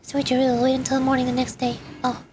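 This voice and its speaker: A woman with a very high voice streams a video game. She comments as she plays and reads the text aloud. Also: monotone, racing, a little mellow, a little hiss or hum. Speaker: VTuber streamer.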